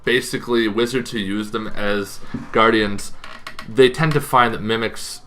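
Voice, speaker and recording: A man's voice: lowish voice, fairly even intonation, measured pace, fairly bright tone, slight background noise. A man explaining tabletop game monsters in a casual, semi-improvised way, correcting himself and adding informal asides as he goes. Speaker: host of a tabletop gaming channel.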